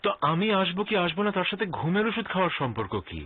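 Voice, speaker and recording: A man's voice: sits high, very animated, rapid-fire, breathy edge, heavily acted. An actor playing an exasperated young man in a TV drama, defensive yet coaxing, with a Bangladeshi accent. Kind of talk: TV drama acting. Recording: thin phone-like sound, faint noise.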